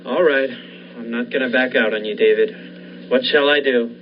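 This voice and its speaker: A man's voice: medium pitch, fairly even intonation, normal speed, natural voice. A man playing an uneasy, loyal surgeon friend, hesitant and full of doubt, in 1940s radio thriller style. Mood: anxious, protesting. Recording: dull lo-fi audio, faint noise.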